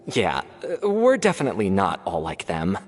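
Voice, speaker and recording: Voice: sits low, huge pitch swings, unhurried, big-room sound, slight background noise, full character voice. An actor voicing a friendly, chatty young character in a video game who rambles with fillers. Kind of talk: game voice acting. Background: game music.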